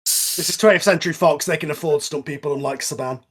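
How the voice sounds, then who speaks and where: A man's voice: fairly high pitch, fast talker, a bit bright. A man hosting an informal film commentary, explaining things casually with a hesitant, chatty delivery. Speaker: host and writer of an audio drama.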